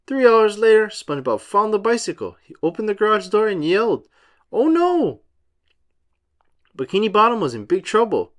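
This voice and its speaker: A man reading a storybook aloud for children in an animated style. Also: sits high, quick pace, few pauses.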